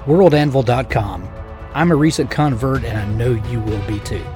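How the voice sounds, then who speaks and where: A host reading a sponsor ad in a scripted, upbeat promotional tone. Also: male voice, medium pitch, normal speed. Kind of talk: scripted ad read. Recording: faint music behind.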